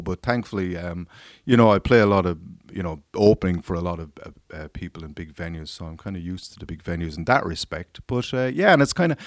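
A man with an Irish accent, a singer being interviewed, talking in a halting way with many fillers and repeated words. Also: on the low side, dry recording, studio quality. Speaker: singer guest. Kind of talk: casual radio interview.

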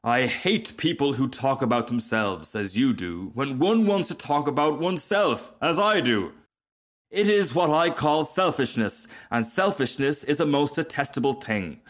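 A man's voice: mid-range voice, voice pushed up, natural voice. A man as a pompous, swooping firework rocket. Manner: haughty, formal, self-important. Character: pompous, vain, self-absorbed firework rocket, easily offended. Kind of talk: storybook character reading.

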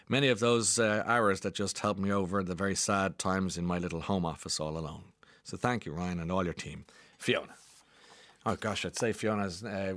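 A man hosts morning radio, reading out texts and bantering with callers. His voice sits on the low side. The sound is close and dry. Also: no room sound. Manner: chatty, warm banter with callers. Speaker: radio host.

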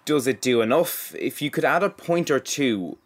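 A man hosting an environmental podcast, reading a scripted introduction in a measured, clear way and then moderating a discussion. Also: medium pitch, expressive. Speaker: podcast host. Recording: music bed behind the voice. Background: loud music.

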